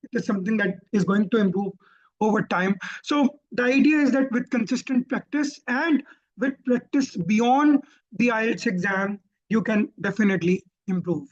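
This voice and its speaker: A man's voice: high-pitched, moderate pace. A man with a Pakistani accent teaching exam skills in a webinar, explaining at length and often correcting himself. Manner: explanatory, repeats points and self-corrects. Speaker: IELTS tutor. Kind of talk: webinar teaching and answering questions. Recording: dull lo-fi online call audio.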